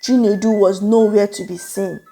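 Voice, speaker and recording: A woman narrating a scripted folktale in a measured, suspenseful, dramatic way. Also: medium pitch, steady tone, mellow. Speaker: storyteller, narrator.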